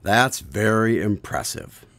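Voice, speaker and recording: A man's voice: on the low side, lively intonation, slow, fairly bright tone, no room sound, full character voice. A man acting as the polite, attentive host of a radio drama, putting questions to a storyteller. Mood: curious, courteous. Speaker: host, announcer. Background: music.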